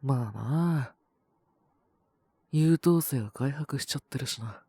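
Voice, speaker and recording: A man plays a young wolf-boy boyfriend laid low by a cold, blunt, teasing and possessive. His husky, breathy voice drags slowly and languidly, recorded very dry, as in a situation-voice roleplay addressed straight to the listener. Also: dark tone, dry recording. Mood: teasing, cocky. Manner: blunt, rough casual speech, teasing, languid. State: sick with a cold and cough.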